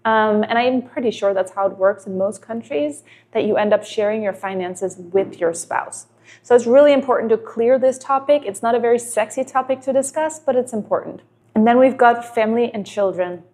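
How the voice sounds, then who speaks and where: A woman's voice: a little high, normal speed, a little mellow. A woman from Denmark, a native Danish speaker in her thirties, giving earnest relationship advice to camera and asking many rhetorical questions.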